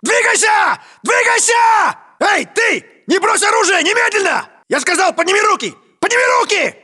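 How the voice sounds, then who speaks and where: A man's voice: very high-pitched, big pitch swings, normal speed, pushed and bright, raised voice, exaggerated voice. A man playing a Russian soldier on base duty in a military video game. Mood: aggressive shouting.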